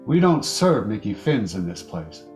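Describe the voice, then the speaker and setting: An actor playing a terse, guarded, tough nightclub owner in an old-time radio drama. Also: male voice, on the low side, big pitch swings, unhurried, darkish tone. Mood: curt, firm. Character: curt, wary, tough male piano-player nightclub owner. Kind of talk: 1940s radio acting. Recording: piano music behind the voice.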